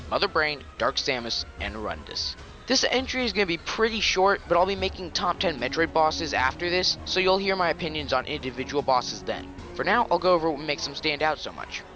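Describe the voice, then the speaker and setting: A man narrating a gaming commentary with a sarcastic, ranting edge and casual swearing. His voice is bright and sits a little high, lifting and dropping with lively swings. Faint music plays behind him. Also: highs cut off. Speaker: gaming video creator. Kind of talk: scripted game commentary.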